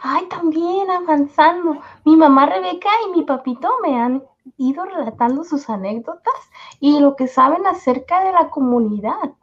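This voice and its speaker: Woman acting very high-voiced little schoolgirl. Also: moderate pace, lo-fi sound, close to an everyday voice. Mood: friendly, curious. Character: polite little schoolgirl cousin, Josefina. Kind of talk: amateur radionovela acting.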